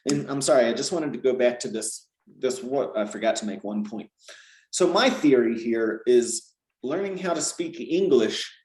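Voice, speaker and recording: Animated man explaining in a roomy space. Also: medium pitch. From Georgia, US South. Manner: animated, explains with repeated "right?" tags. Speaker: language teacher. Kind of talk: conversational interview.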